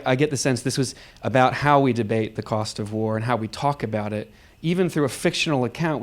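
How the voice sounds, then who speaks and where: A man moderating a live audience talkback, speaking off the cuff in a reflective, explanatory way with halting phrases. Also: mid-range voice. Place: live event space with an audience.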